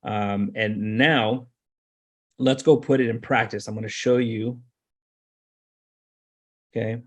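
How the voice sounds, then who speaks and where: A man giving an informal, step-by-step screen-share tutorial as a software trainer, explaining and repeating points for emphasis. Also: fairly low pitch, fairly even intonation, takes it slowly.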